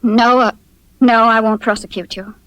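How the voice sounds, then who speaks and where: Woman acting as a posh proud english lady, high voice, dry sound, formal, old radio drama. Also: fairly even intonation, normal speed, no echo, natural voice, rough recording. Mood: indignant.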